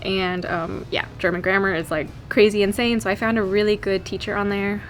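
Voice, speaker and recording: A young American woman from California, chatting to camera in a lively, giggly vlog. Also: mid-range voice, brisk, slight background noise. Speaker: vlogger. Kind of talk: casual chat to camera.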